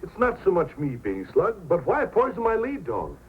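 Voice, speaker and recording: An actor playing a hot-headed, wronged dog-sled racer with emotional delivery in old-time radio drama style. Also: male voice, high-pitched, huge pitch swings, normal speed, slight background noise. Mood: indignant. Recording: muffled old radio recording with music.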